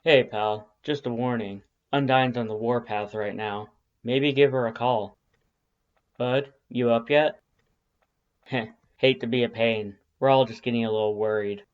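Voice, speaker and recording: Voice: mid-range voice, fairly even intonation, a little slow, lo-fi sound, close to an everyday voice. A narrator voicing a laid-back but anxious male skeleton monster, casual, slangy and apologetic. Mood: casual concern.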